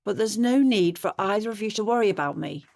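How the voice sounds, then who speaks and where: A woman's voice: mid-range voice, animated, brisk, gravelly, darkish tone. An actress playing an older bereaved widow and mother in an audio drama, plaintive and stubborn in manner. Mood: stubborn, defensive. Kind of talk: naturalistic audio drama acting.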